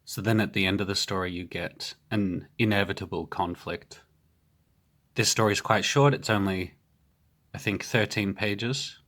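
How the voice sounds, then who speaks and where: A man with a cold reviewing short stories in a relaxed, plain-spoken way, with fillers and hedging asides. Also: low voice, normal speed. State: has a cold. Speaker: book reviewer. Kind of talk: casual book review monologue.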